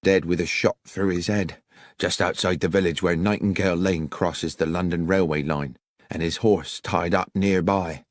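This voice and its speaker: A man's voice: sits low, steady tone, normal speed, dry recording, lightly acted. A man voicing an elderly village publican in an audiobook, plain-spoken, respectful and troubled. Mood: earnest, distressed. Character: elderly amiable village publican, distressed and deferential.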